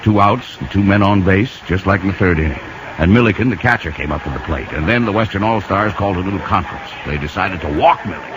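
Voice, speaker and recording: A man's voice: deep voice, animated, fast. An actor playing a folksy man who narrates a baseball story dramatically in a 1950s radio drama. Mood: reflective. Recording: noisy, muffled old radio recording.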